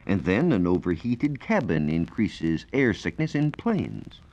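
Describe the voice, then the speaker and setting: An American man working as a science reporter gives a formal, measured, scripted explanation in the style of 1940s educational radio. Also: low-pitched, very animated, darkish tone. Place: radio studio. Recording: dull, lo-fi 1940s radio recording.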